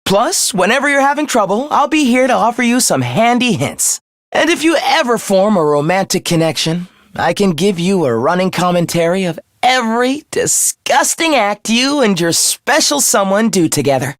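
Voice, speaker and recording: A man's voice: sits high, sing-song, moderate pace, a bit bright, no room sound, studio quality. A male actor voicing a sadistic young male villain in a video game, taunting and theatrical with a mockingly polite, obsessive manner. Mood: smug, sinister calm. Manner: taunting, theatrical, mock-cheerful.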